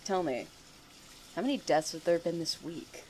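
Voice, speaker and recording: Woman acting a low-voiced, smug, taunting student. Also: animated, moderate pace, darkish tone, slight background noise, close to an everyday voice. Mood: mocking. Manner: mocking, theatrical, needling. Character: taunting, smug, cryptic student who claims visions. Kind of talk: audio drama acting.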